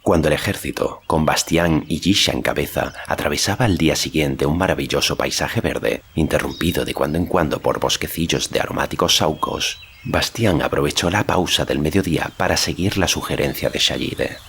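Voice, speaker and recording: A man's voice: a bass voice, moderate pace, gravelly, few pauses, a bit bright, a little hiss or hum. A man reading a fantasy novel aloud as scripted literary narration. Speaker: audiobook narrator.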